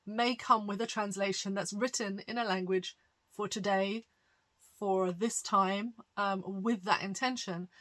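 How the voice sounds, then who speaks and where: Woman, pastor, bright clear tone, conversational, explanatory, easygoing with fillers. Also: mid-range voice. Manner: chatty and explanatory, many fillers, light laughs. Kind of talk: conversational teaching monologue.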